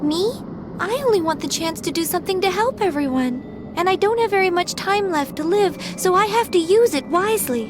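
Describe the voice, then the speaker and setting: A woman's voice: very high-pitched, animated, fairly quick, noisy background. A woman playing an earnest, selfless young girl in a video game cutscene, speaking in a sincere, pleading way. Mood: earnest, hesitant. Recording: music playing behind the voice.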